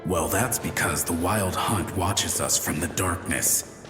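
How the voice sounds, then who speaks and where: Deep, echoing ghost soldier. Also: male voice, slow pace. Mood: eerie storytelling. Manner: taunting, folksy storytelling. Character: teasing, chatty male ghost of a lighthouse soldier. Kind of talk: video game voice acting. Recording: long echoing reverb with music behind.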